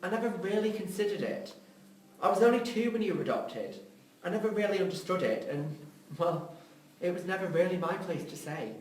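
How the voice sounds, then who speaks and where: A man's voice: sits high, expressive, fairly quick. A man in an amateur drama reading, playing a defensive, remorseful young man who pleads with exasperation. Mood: subdued. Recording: roomy, distant mic, faint noise.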